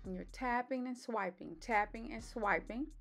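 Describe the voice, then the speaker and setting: A woman with a slightly raspy voice doing a relaxed step-by-step voice-over for her makeup tutorial. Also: fairly high pitch, moderate pace, soft background music. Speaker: beauty vlogger. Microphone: voice-over mic.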